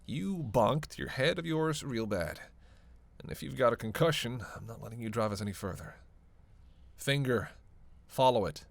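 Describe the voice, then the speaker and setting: A creaky, gravel-edged male vampire played by a man in a roleplay audio drama. He talks casually and sarcastically, teasing and cursing as he goes, always playfully out for himself. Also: medium pitch, animated, moderate pace, dry recording. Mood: coaxing, playful. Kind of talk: roleplay audio drama, one-sided conversation with listener.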